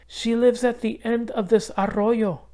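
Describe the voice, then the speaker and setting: Man putting on a high-voiced polite little girl. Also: flat delivery, unhurried, darkish tone. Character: polite, brave, clever young girl. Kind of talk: children's storybook read-aloud.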